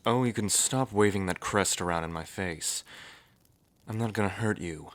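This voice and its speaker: A man voicing a wry, low dragon archmage. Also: moderate pace, dry recording, lightly acted. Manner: wry, self-deprecating, easygoing. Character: wry, gentle male dragon archmage and royal advisor.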